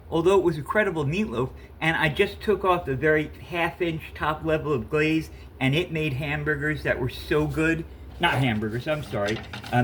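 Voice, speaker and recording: A man's voice: fairly high pitch, a bit bright, a little hiss or hum. A man in his fifties with a stuffy cold, rambling and correcting himself as he cooks in his home kitchen. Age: 50s. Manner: rambling, self-correcting, chatty. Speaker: home cook vlogger. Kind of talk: casual cooking vlog.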